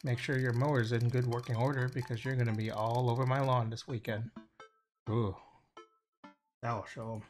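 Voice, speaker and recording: A man's voice: medium pitch, flat delivery, moderate pace, pauses often. A man livestreaming a game, casually reading dialogue aloud and sometimes stumbling over the lines in an informal, hesitant way. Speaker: game streamer. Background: game music.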